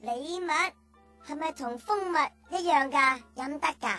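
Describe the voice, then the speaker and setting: A woman's voice: sits high, unhurried, bright, forceful tone, soft background music. A woman playing a cheeky, childish, easily offended young talking calf in a children's radio drama. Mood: puzzled, naive. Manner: childish, indignant.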